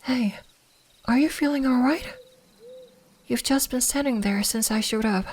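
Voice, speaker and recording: Woman as a slow, soft-spoken gentle fox spirit. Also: on the high side. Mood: gentle, slightly shy. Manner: soft-spoken, soothing, hesitant, at times whispering. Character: gentle, curious female kitsune fox spirit guarding woods. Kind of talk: ASMR roleplay.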